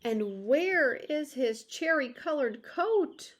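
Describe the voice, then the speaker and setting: A woman's voice: sits high, expressive, slow, pitched above the performer's own voice. A woman voicing a poor old male tailor, worn out and lamenting, in a storybook read-aloud. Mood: weary lament. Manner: weary, lamenting.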